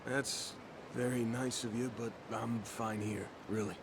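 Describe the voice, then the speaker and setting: A man's voice: medium pitch, steady tone, unhurried, vocal fry. An actor playing a meek, wary and polite homeless man in a video game, speaking hesitantly. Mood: wary, regretful. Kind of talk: dramatic video game voice acting. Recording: ambient noise behind voice.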